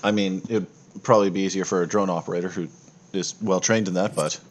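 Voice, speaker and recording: A man with a fairly low voice runs a tabletop roleplaying game. He narrates casually and makes it up as he goes, pausing and rephrasing his explanations. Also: fairly even intonation. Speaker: game master.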